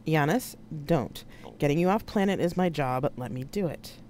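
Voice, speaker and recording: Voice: medium pitch, animated, normal speed, darkish tone, a little hiss or hum, close to an everyday voice. A narrator voicing a dutiful, earnest male agent in an audiobook story. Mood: firm. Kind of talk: audiobook character dialogue.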